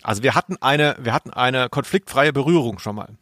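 A man co-hosts a podcast. He chats casually and reads out listener mail in a mid-pitched voice. The sound is dry, with very little room. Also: a little fast, fairly bright tone, no echo. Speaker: podcast co-host.

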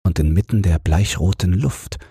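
Deep voiced guy reading a bedtime fairy tale, really husky, slow n soothing, dry close sound. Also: warm tone, dry recording. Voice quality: husky, very low. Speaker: audiobook narrator.

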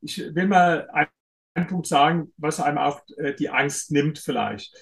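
A man, a guest author in an interview, explaining his points and often pausing on uh fillers. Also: fairly high pitch, vocal fry, fairly bright tone. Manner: explanatory, hesitant with frequent uh fillers. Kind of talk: interview conversation.

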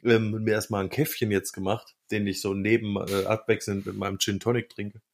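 A man with a fairly low voice co-hosts a podcast. He talks in a loose, colloquial way, using plenty of slang in casual chat. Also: little pitch movement, fairly quick. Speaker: podcast co-host.

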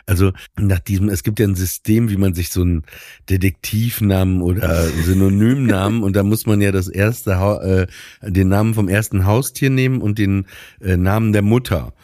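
A man with a deep voice talks as a podcast co-host. He rambles casually, stumbling and restarting as he goes. The recording is close and dry, without room sound. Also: steady tone, warm tone, no room sound. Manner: halting, stumbling, self-interrupting.

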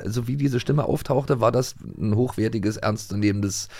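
A man's voice: mid-range voice, moderate pace, vocal fry, darkish tone, dry recording. A middle-aged man from East Germany co-hosting a studio podcast, chatting casually with hesitant fillers and laughter. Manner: hesitant, many fillers, laughs while talking. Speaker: podcast co-host. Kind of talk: casual chat.

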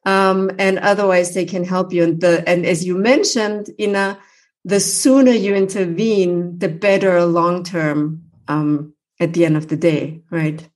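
A woman's voice: on the low side, steady tone, a little slow. A woman hosting an interview, earnestly advising listeners, with frequent fillers. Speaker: podcast host.

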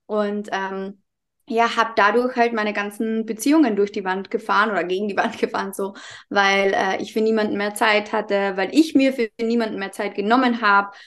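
A woman's voice: medium pitch, steady tone, normal speed. A young woman from Carinthia with an Austrian accent, talking openly as a podcast guest with plenty of filler words. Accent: Austrian German, Carinthian. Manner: warm, open, lots of fillers. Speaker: podcast guest, mindset coach. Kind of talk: casual podcast interview.

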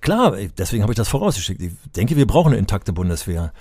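An older man with a low, mellow voice co-hosts a podcast conversation and argues with emphatic passion. His voice sits close and dry on the mic, with no room sound. He grows more insistent as he makes his case. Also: very animated, quick pace, no echo. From Germany (Berlin). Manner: impassioned, emphatic when angered, rhetorical. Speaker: podcast co-host, education advocate.

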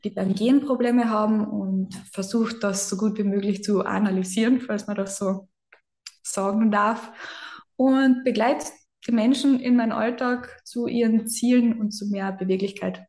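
A woman's voice: medium pitch, moderate pace. Carinthian woman in an echoey room. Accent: Carinthian Austrian German. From southern Austria. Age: 30s. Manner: chatty, self-deprecating, lively storytelling. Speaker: physiotherapist guest. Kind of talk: casual podcast interview.

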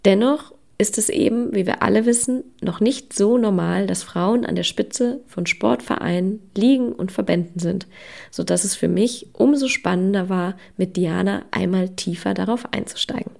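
A woman hosting a podcast, reading an intro and then chatting with a guest, her voice hoarse from a cold. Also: mid-range voice, normal speed, a little mellow. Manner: upbeat, with many fillers. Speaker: podcast host. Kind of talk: interview podcast, scripted intro then chat.

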